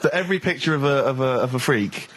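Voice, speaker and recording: A male radio co-host. His voice is bright with a husky edge, and he explains things in an articulate, wry, casual way. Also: mid-range voice, lively intonation, fast talker.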